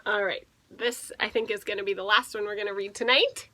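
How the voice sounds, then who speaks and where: A woman teacher reading a picture book aloud to children, playfully expressive, stretching words for emphasis and adding asides. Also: mid-range voice, a little fast.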